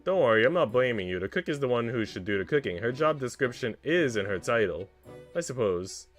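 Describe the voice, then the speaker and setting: A man's voice: medium pitch, expressive, fairly quick, lightly acted. A man voicing a teasing, affectionate maid from a visual novel, with playful, old-fashioned courtly phrasing. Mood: concerned, gentle. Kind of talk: visual novel character read aloud. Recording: music playing behind the voice.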